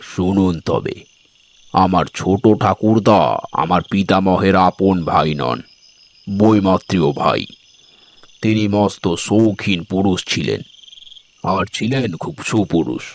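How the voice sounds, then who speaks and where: A man playing a grave, dignified Rajput gentleman who speaks slowly and solemnly in an audio story. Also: low voice, expressive, exaggerated voice. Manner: solemn, slow, deliberate.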